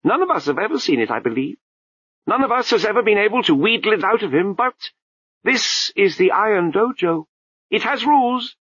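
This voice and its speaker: A squeaky, shrill little abbot who babbles nonsense between grand pronouncements. The voice leaps wildly high and low, with a dull, dry storybook sound. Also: male voice, big pitch swings, moderate pace, fairly bright tone, husky, no echo, full character voice. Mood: grave pronouncing. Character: ancient male abbot reborn as a babbling toddler.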